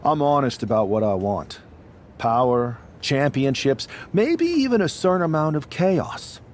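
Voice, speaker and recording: Treacherous faction leader for a wrestling game: theatrical, menacing, slow delivery, faint background noise. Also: male voice, medium pitch, sing-song. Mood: cold, scheming. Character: treacherous, power-hungry young male wrestling villain leading a rebel faction. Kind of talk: wrestling game cutscene promo.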